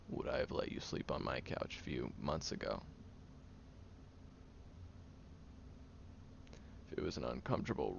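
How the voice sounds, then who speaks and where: A man's voice: very deep voice, highs cut off, slight background noise, exaggerated voice. A man playing a prickly, defensive young man who is sarcastic but secretly caring, in an audio roleplay spoken to the listener. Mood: grudging, dry. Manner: gruff, sarcastic, flustered tsundere bickering.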